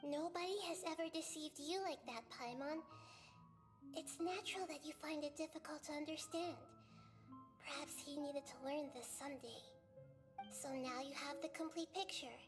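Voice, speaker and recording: A woman voicing a gentle, wise female goddess in a video game, telling the story in a calm, measured way. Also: high voice, soft background music, lightly acted. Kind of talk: video game voice acting, narration.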